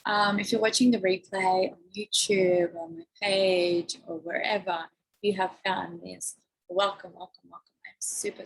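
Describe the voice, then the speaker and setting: A woman life coach chatting effusively on a livestream, her voice croaky and hoarse. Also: mid-range voice, rough recording. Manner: bubbly, effusive, lots of "like", coughing. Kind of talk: casual livestream chat. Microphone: phone or laptop livestream.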